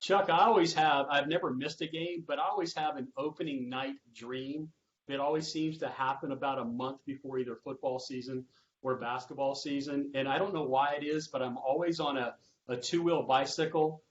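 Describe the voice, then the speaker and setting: Male roundtable moderator and sports announcer, mid-pitched, conversational, on muffled lo-fi audio. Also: fairly even intonation, normal speed. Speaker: roundtable host and sports public address announcer.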